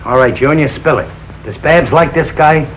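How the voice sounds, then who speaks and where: A man's voice: on the high side, normal speed, close to an everyday voice. Blustering 1940s radio comedy dad played by a man. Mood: interrogating. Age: middle-aged. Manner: blustering, exclamatory, emphatic. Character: blustering, suspicious working-class father, quick to anger. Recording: dull, noisy 1940s radio recording.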